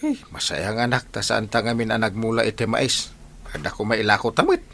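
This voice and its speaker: A man's voice: lowish voice, expressive, a little fast, gravelly, fairly bright tone, heavily acted. A man playing a folksy, hesitant village captain in an Ilocano radio comedy drama. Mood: regretful.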